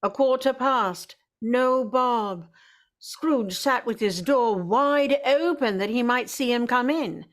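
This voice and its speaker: A woman's voice: on the high side, takes it slowly. A woman delivering scripted storytelling narration in a vintage-style radio drama.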